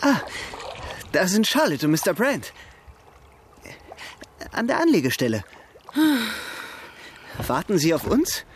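An actor playing a charming, eloquent young gentleman in a radio drama, polite and teasing. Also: high voice, very animated, slow, long pauses, a little hiss or hum, heavily acted. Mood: curious. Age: young adult. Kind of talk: radio drama dialogue, period literary adaptation.

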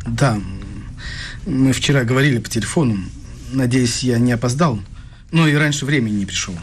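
A man's voice: medium pitch, moderate pace, slight background noise, close to an everyday voice. An actor playing a polite, eager male interviewer in a radio play, courteous and slightly hesitant. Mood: deferential.

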